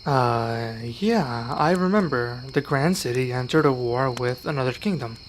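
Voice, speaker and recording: A man's voice: medium pitch, measured pace, a little hiss or hum, natural voice. A man playing an earnest young werewolf learning magic in an ASMR roleplay, speaking to one listener with some hesitation. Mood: hesitant, curious.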